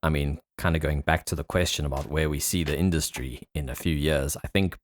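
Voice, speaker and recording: A man's voice: very deep voice, steady tone, fairly quick, no echo. A man hosting a podcast, talking in a casual, reflective way with a tentative, meandering delivery. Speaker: podcast host.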